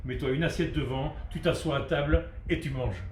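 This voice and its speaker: A man's voice: on the high side, fairly quick. A middle-aged man from France, answering casually as a restaurant cook being interviewed, hesitant and repeating words as he searches for phrasing. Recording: echoey room with faint noise.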